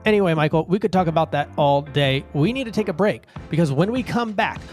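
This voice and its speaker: A man co-hosting a political podcast, talking in a measured conversational way. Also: a little high, lively intonation, soft background music. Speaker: podcast co-host. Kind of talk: political talk show.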